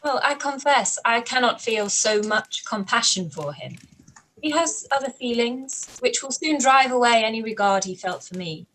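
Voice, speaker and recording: Woman as a witty young woman, dry, wry, slightly high voice. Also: lively intonation, normal speed, a bit bright, a little hiss or hum, rough recording. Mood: earnest. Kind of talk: live-read radio play.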